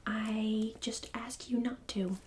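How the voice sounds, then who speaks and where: A woman whispering casually to viewers in an ASMR style, gentle, apologetic and reassuring. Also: on the high side, steady tone, slow, a little hiss or hum. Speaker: ASMR creator.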